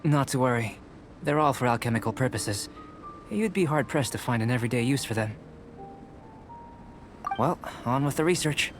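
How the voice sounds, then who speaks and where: A man playing a composed young alchemist in a video game, speaking in a measured, formal, analytical manner. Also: mid-range voice, expressive, faint music behind, lightly acted. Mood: curious, composed. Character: calm, courteous young male alchemist and researcher. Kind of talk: video game character dialogue.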